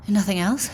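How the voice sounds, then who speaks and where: With faint noise around her, an actress plays an ailing lady of a gothic manor. She speaks slowly and weakly, short of breath, halting and gently dry as she keeps up a brave front. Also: mid-range voice, close to an everyday voice. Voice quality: weak, breathy, winded. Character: ailing noblewoman, weary, putting on a brave face. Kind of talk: period gothic audio drama acting.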